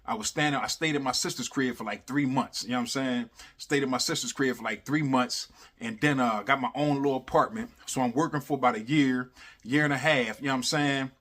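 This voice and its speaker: A man casually telling a funny personal story in animated, slangy talk, repeating phrases for emphasis and constantly checking in with the listener. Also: mid-range voice, a little fast. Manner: animated, slangy, repeats phrases for emphasis, often adds "you know what I'm saying". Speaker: storyteller, host of a story series.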